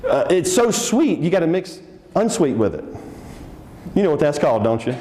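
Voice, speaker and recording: A man's voice: fairly high pitch, very animated, moderate pace, warm tone, big-room sound. Upbeat Texan man leading a seminar. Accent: Texan Southern. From Texas. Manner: upbeat, coaxing the audience, joking. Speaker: seminar speaker. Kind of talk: interactive business seminar talk.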